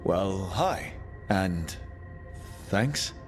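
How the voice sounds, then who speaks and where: A man's voice: sits low, expressive, drawn-out words, over music, exaggerated voice. An actor playing a casual, plucky young male hero in a video game, informal and direct. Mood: earnest, friendly.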